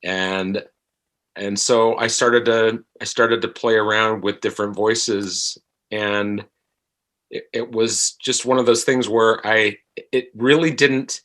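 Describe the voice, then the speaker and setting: A middle-aged man, an actor being interviewed, speaks in a halting, thoughtful way and often repeats his phrases. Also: fairly low pitch, fairly even intonation, a bit bright. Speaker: actor guest. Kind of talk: reflective interview conversation.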